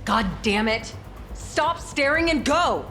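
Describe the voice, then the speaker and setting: A fierce half-canine soldier in an audio drama. Her voice is high and sharp, strained with urgency yet commanding, drawn out slowly over faint noise. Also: expressive, faint music behind, heavily acted. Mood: angry, urgent.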